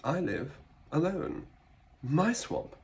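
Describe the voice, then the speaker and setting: A man playing a grumpy, solitary ogre in a dramatic comic reading, slow and brooding with pauses between words. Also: a little high, big pitch swings, a little mellow, slight background noise, exaggerated voice. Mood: brooding contempt. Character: grumpy, solitary ogre who wants everyone gone.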